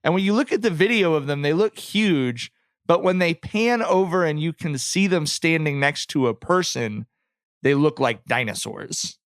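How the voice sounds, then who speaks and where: A man's voice: on the high side, lively intonation, normal speed, smooth, dry recording, studio quality. A man hosting a podcast in casual, comedic chat, with wry and profane humour. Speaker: podcast host.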